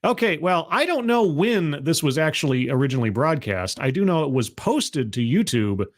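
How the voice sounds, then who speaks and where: A man's voice: on the high side, sing-song, moderate pace. A man casually commenting on a played video, sardonic and rambling, with many restarts.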